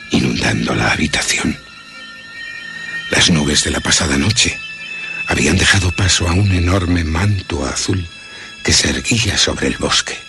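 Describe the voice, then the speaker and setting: A man's voice: extremely low pitch, gravelly, exaggerated voice. A man playing a haunted narrator who solemnly recalls a monstrous attack in a radio horror drama. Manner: ornate, solemn, deliberate storytelling.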